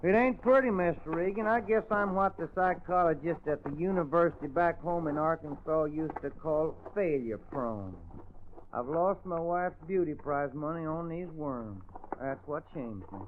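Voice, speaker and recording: An actor playing a gullible, apologetic country husband and farmer with a Southern accent, earnest and polite, in old radio drama style. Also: male voice, a little high, a little hiss or hum, close to an everyday voice. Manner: earnest, slow-witted, polite, folksy. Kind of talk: 1950s radio drama acting. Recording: tinny old radio broadcast recording.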